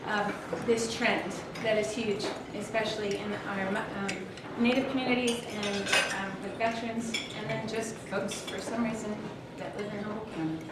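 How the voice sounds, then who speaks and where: A woman's voice: mid-range voice, steady tone, normal speed. A woman making an earnest, heartfelt appeal to a club meeting. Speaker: club member. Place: banquet room with dishes clinking. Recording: echoey room from far off, loud noise.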